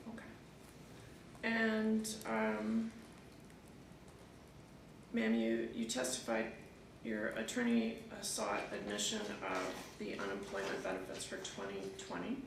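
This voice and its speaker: A woman judge with a very gravelly voice asks formal questions of a witness. She sits some way back from the mic, so her voice comes through distant and strongly echoey. Steady noise hangs behind her words. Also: mid-range voice, takes it slowly, long pauses. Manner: formal, addresses the witness as ma'am. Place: courtroom.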